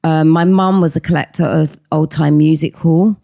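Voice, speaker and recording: Woman, middle-aged, husky, gravelly, mellow, casual chat with ums. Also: low voice, fairly even intonation, normal speed, dry recording, studio quality. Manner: chatty, hesitant with many ums, laughs easily. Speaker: podcast guest, actress and singer.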